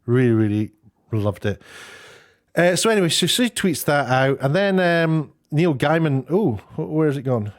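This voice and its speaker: A man's voice: on the high side, expressive, moderate pace. A British man giving casual, opinionated commentary in a rambling, chatty way with sarcastic asides. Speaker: online video commentator. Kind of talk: casual opinionated commentary, reading out tweets and figures.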